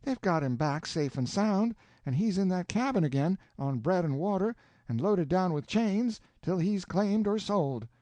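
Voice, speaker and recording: A man's voice: high voice, expressive, measured pace, mellow, no echo, pitched above the performer's own voice. A man voicing a fussy, flustered elderly country aunt who scolds and exclaims in a raised voice. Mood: indignant.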